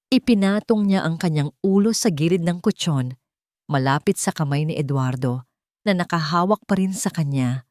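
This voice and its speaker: Female narrator, lower register, close dry sound, measured dramatic delivery for romance fiction. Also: darkish tone, no room sound. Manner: measured, theatrical storytelling. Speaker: story narrator. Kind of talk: dramatic scripted narration of a Tagalog romance story.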